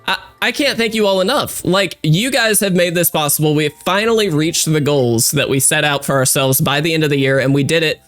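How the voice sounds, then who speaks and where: A man with a congested, stuffy voice talks through a video game run in an upbeat, chatty way, full of fillers and restarts. His voice sits a bit on the high side. Quiet game music plays in the background. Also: fairly quick, few pauses. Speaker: gaming YouTuber. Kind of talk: casual let's-play commentary.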